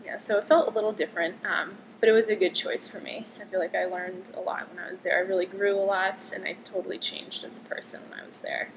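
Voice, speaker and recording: A young woman in her twenties from Connecticut answers interview questions in an easy, chatty way, and she leans on "um" and "like" as she goes. Her voice sits at a middle pitch. Clear background noise runs under the recording. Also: level tone, fast talker, hardly any pauses, low-quality recording. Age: 20s. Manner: chatty, frequent "um" and "like" fillers. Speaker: interviewee, medical student.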